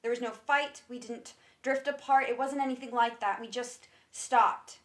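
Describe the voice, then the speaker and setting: A woman's voice: fairly high pitch, steady tone, moderate pace, natural voice. An actress playing a guarded, evasive woman with a painful past in a stage drama reading. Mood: urgent.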